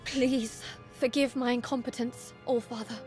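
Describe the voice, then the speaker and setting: A woman voicing a dutiful young warrior maiden in a video game, speaking formally and earnestly in a measured way. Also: on the high side, fairly even intonation, a little mellow, soft background music, lightly acted. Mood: solemn, contrite. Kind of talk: video game cutscene acting.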